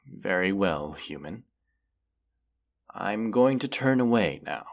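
A man's voice: low voice, gravelly, a bit bright, exaggerated voice. A man voicing a proud, mighty dragon in a fantasy roleplay, haughty and formal in manner. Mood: haughty, guarded. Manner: haughty, deliberate, formal phrasing with menacing undertone. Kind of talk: fantasy roleplay audio acting.

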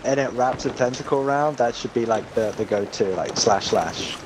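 A man's voice: medium pitch, moderate pace. A man in casual, joking banter with friends while playing a video game. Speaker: player in a co-op pirate game. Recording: noisy watery game ambience behind voice.

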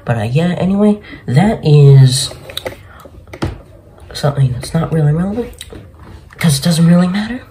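A man's voice: fairly high pitch, animated, normal speed, pauses often, warm tone, slight background noise. A young man hosting a gaming video with casual gameplay commentary, speaking into a desk mic in a bedroom. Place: small bedroom, indoors.

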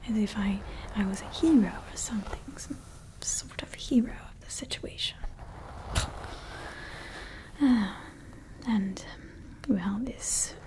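A woman voicing a scheming female evil scientist who calls herself Mother, in a slow, soft, conspiratorial whisper for ASMR roleplay on a close microphone. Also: medium pitch, a little hiss or hum, natural voice, poor audio. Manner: slow, intimate, conspiratorial ranting. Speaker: ASMR creator.

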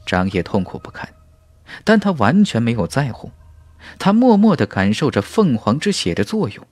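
A man narrating a fantasy audiobook in a dramatic, scripted style, with measured, emphatic phrasing. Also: medium pitch, sing-song, darkish tone, no echo. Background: faint music.

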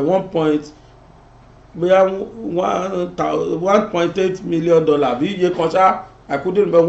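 Animated high-voiced Nigerian man. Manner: animated, switching between Yoruba and English, gesturing. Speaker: commentary show host. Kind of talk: political commentary monologue. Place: indoor studio set. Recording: roomy, dull lo-fi sound.

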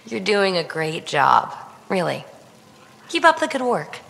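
A woman's voice: medium pitch, sing-song, takes it slowly, gravelly, a bit bright, big-room sound, exaggerated voice. Game sidekick: young alien woman, playful, breezy, teasing, chatty and upbeat. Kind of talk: video game dialogue.

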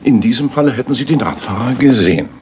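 An actor playing a brisk, self-assured master detective in a German radio drama, with precise, probing questions and a husky voice. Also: male voice, medium pitch, animated, darkish tone, slight background noise, lightly acted. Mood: critical.

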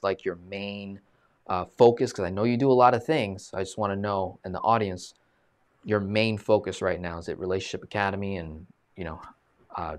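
A man in his thirties hosts a live video-call interview in a casual, animated way, leaning on fillers and restarts. His voice sits a little low. It is picked up by a webcam mic in a small room. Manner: animated, gestures a lot, many fillers. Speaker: host. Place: small room, plain green wall.

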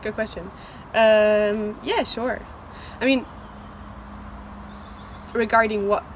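A woman's voice: on the high side, steady tone, slow pace. A woman in her twenties from northern Italy, a student giving thoughtful, somewhat hesitant interview answers outdoors. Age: 20s. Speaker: exchange student. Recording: outdoor noise.

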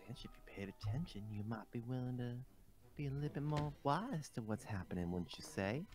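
A woman voicing a folksy, bossy Southern woman in a comic game, scolding and deadpan, with a low, sometimes breathy voice. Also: brisk, music in the background.

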